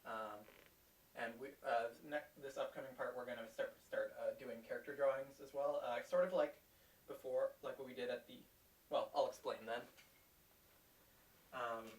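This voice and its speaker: A man's voice: medium pitch, steady tone, normal speed. A man hosting a livestream, chatting casually and hesitantly with plenty of ums. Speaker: livestream host. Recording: roomy, distant mic with hiss.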